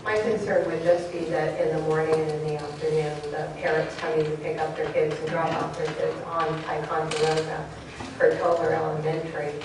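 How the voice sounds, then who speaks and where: A woman on a board raising a concern at a public meeting, informal and halting. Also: deep voice, flat delivery. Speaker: board member asking questions. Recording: echoey hall from far off, clear noise.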